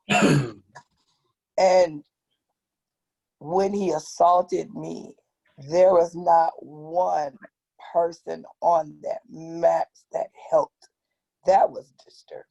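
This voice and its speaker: A woman with a raspy, hoarse voice telling a personal account on an online panel, halting and pausing often. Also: deep voice, flat delivery, warm tone, poor audio. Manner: slow, halting, with long pauses. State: hoarse from tear gas. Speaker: activist guest. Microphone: video call.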